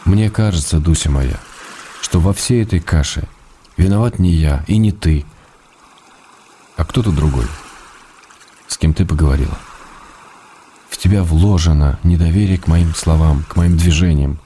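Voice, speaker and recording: Man playing exasperated loving husband, very low mellow voice, measured reproach, radio drama letter. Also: slight background noise, lightly acted. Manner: measured, reproachful yet affectionate.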